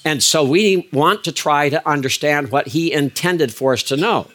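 An older man giving a lecture as a biblical Hebrew teacher, measured and emphatic, with frequent fillers and restarts. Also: fairly high pitch, fairly bright tone. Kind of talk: recorded lecture.

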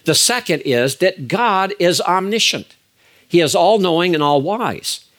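An older man giving a lecture as a biblical Hebrew teacher, measured and emphatic, with frequent fillers and restarts. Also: sits high, animated, a bit bright. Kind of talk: recorded lecture.

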